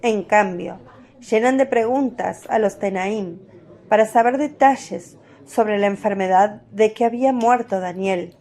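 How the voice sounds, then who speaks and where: A woman narrating a scripted religious radio drama in a measured storytelling manner. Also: mid-range voice, dark tone.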